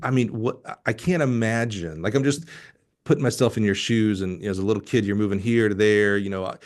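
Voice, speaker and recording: Low-voiced middle-aged man hosting a podcast. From Alabama, United States. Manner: halting, self-interrupting, effusive. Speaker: podcast host. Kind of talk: casual conversational interviewing.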